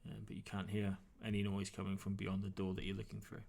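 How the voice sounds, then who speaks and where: A man narrating a tabletop role-playing game as game master, describing things in a halting, improvised, conversational way. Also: deep voice, monotone, gravelly, a little mellow.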